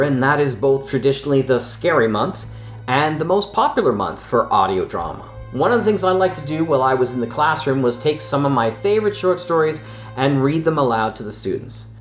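Echoey male podcast host. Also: mid-range voice. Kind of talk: scripted podcast introduction.

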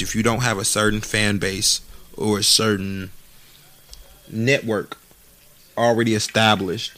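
A man's voice: lowish voice, a bit bright, dry recording. A man in his late twenties talking casually on a podcast, slangy and profane, often repeating words while he thinks. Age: 20s. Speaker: podcast co-host, aspiring rapper. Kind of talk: casual chat.

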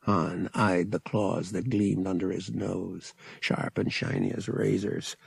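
A man's voice: low voice, little pitch movement, slow pace, creaky, slightly rough, dry recording. A man narrating a story as a dramatic, scripted audiobook reading.

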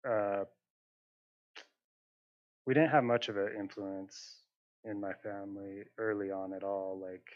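A young man from northern Utah speaks in a low, fairly flat voice, working through his answers with plenty of fillers and restarts. The sound is dry and a little dull. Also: no room sound. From northern Utah, USA. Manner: hesitant, many fillers and restarts. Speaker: interview guest, muralist. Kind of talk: casual conversational interview.

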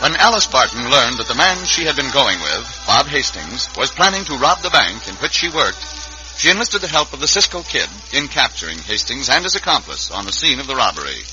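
A man's voice: medium pitch, big pitch swings, fairly quick, bright, forceful tone. A man announcing and narrating a scripted old-time radio western serial. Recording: muffled old radio recording. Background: music.

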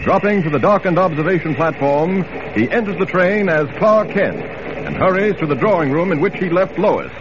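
A man's voice: sits high, big pitch swings, moderate pace. A man narrating a 1940s radio adventure serial in dramatic scripted style. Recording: noisy, muffled old radio recording.

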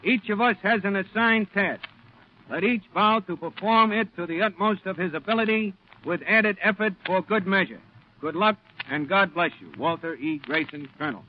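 Actor as gruff high-pitched Marine sergeant, old radio. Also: male voice, moderate pace, a little hiss or hum, lightly acted. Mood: solemn reading. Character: gruff, fatherly Marine sergeant looking after his men. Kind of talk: 1940s radio acting. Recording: muffled old radio broadcast recording.

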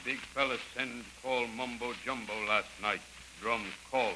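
An actor playing a loyal, deferential native servant and guide who speaks halting broken English in an old radio serial. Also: male voice, medium pitch, fairly even intonation, pushed and bright, rough recording. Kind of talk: 1930s radio serial acting. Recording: old radio recording with faint noise.

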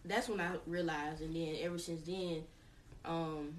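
A woman's voice: deep voice, steady tone, a little slow, far from the mic, slight background noise. A woman guest on a casual podcast, rambling and correcting herself, blunt in tone. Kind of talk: casual chat.

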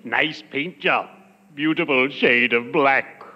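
Man playing a drawling, deadpan undertaker on noisy old radio. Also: medium pitch, muffled recording, natural voice. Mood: deadpan gloom. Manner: formal, drawn-out, deadpan. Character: lugubrious male undertaker friend, dry and unhurried. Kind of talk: 1940s radio comedy acting.

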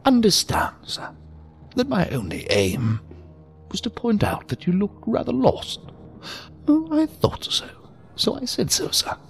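A man's voice: sits high, wildly swooping pitch, unhurried, pauses often, heavily acted. An actor in an audio drama playing an odd, courteous, philosophical old man, speaking in a formal, ornate, theatrically sing-song way. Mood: whimsical, genteel. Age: older. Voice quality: creaky, gravelly, breathy at times. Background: music.

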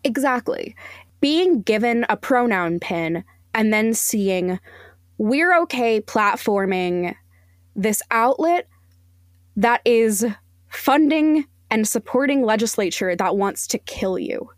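A woman speaking as a podcast guest, giving opinions in an emphatic, deliberate way. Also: on the high side, lively intonation, no room sound, clean studio sound. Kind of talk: opinionated discussion.

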